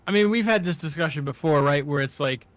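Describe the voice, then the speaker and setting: A man with a slightly high voice chats casually as a podcast co-host. He sounds tentative and checks in as he goes, recorded close and dry with no room sound. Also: quick pace, no room sound. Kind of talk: casual conversational discussion.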